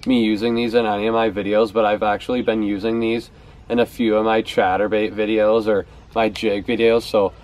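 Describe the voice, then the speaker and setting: A man's voice: lowish voice, level tone, brisk, a little mellow. A young man talking casually to camera on a porch outdoors, showing his favorite fishing baits and often repeating words for emphasis. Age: young adult. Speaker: fishing vlogger. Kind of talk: casual product talk to camera. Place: outdoors on a house's back porch steps.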